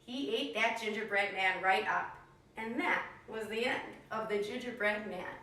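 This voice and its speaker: A woman telling a story to young children in an animated storytelling style. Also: mid-range voice, unhurried. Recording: echoey room, distant microphone.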